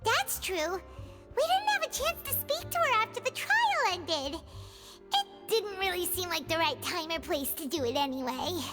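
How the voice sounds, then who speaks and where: A woman voicing a tiny, childlike, excitable female fairy companion in a video game, chirpy and squeaky. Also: moderate pace. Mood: thoughtful. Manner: refers to self in third person, chirpy. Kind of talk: English video game voice acting. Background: music.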